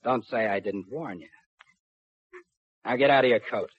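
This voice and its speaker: An actor playing a cruel, cocky kidnapper in a 1950s radio drama, with a rough voice and taunting tough-guy slang. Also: medium pitch, moderate pace, lightly acted. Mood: menacing, mocking. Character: sneering, cruel kidnapper, cocky small-time crook turned big. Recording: muffled 1950s radio recording.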